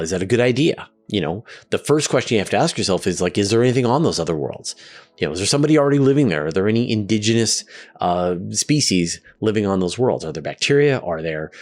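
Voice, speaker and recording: Guy with a lowish voice doing a science q&a, chatty explainer style, pauses for emphasis, faint music under it. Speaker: science show host answering audience questions.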